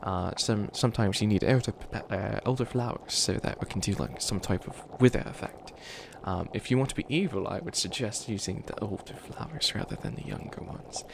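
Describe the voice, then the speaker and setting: A man's voice: on the low side, fairly quick, hardly any pauses. A man voicing a flustered, youthful bunny witch who wants to be evil, stammering and rambling defensively in a comedic ASMR roleplay. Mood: hesitant, instructive. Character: flustered 853-year-old youthful bunny witch, harmlessly wannabe evil. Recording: clearly noisy ambience behind voice.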